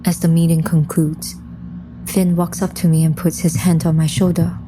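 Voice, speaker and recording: Serious undead heroine narrating an audio drama, actress, female, fairly low and flat in delivery, music underneath. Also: normal speed, natural voice, poor audio. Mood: grave, composed. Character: composed undead woman, serious mission leader. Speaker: lead character and first-person narrator.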